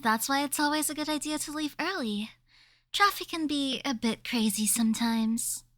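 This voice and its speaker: A woman acting a caring young woman with a high voice. She is gentle and reassuring, in an audio roleplay recorded very dry. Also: moderate pace, no room sound. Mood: friendly. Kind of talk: scripted ASMR roleplay acting.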